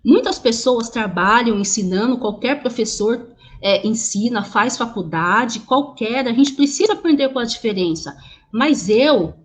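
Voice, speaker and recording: A woman interpreting sign language into speech over a video call, halting, with many restarts and repeated words. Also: a little high, lively intonation. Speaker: sign language interpreter voicing a deaf teacher.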